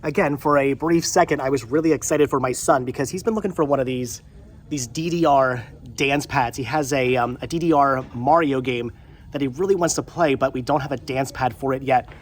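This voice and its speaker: A man's voice: medium pitch, fairly quick. A middle-aged man with a congested voice chats casually to viewers as a vlog host, rambling and repeating his phrases. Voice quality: congested with a head cold.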